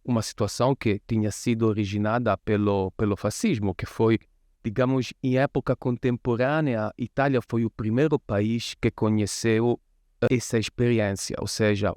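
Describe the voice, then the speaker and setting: A middle-aged man from Italy, giving explanatory interview answers as an academic guest in a measured manner. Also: medium pitch, a little mellow, no echo, studio quality. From Livorno, Italy. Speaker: guest, sociology professor.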